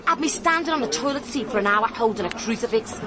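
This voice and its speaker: Brassy English working girl played by a woman for radio drama: streetwise, complaining, slightly high voice, crowd behind. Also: expressive, quick pace, lightly acted. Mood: indignant, cheeky. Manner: sardonic, colloquial, complaining. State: coughing, chesty after a soaking. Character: brassy, cheeky, grumbling female escort, streetwise. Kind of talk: BBC radio drama acting.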